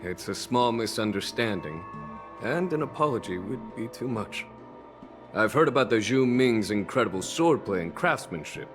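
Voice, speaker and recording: Casting: low-voiced, composed general, diplomatic, expressive pitch. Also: male voice. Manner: measured, diplomatic, polite. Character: calm composed male general, courteous mentor. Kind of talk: game cutscene voice acting.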